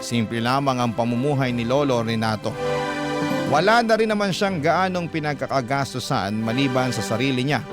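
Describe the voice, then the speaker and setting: Male host for dramatic letter readings: medium pitch, earnest classic radio narration, strong music bed. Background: prominent background music.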